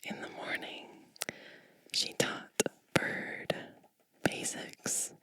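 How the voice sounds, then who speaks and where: A woman reading a storybook in a gentle, breathy whisper for ASMR, unhurried, with short phrases and chatty asides. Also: dry recording.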